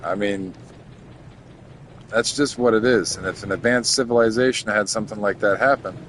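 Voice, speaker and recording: A man's voice: on the low side, normal speed, a bit bright, a little hiss or hum. A man in his thirties chatting casually to his viewers while he plays a game, rambling from topic to topic and muttering to himself as he works through menus. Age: 30s. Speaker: game streamer.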